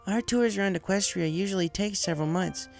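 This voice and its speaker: A man's voice: high voice, fairly quick, vocal fry, pitched above the performer's own voice. A man voicing a confident, understanding female pegasus team captain in a story reading, casual and direct, with music behind. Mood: frank, understanding.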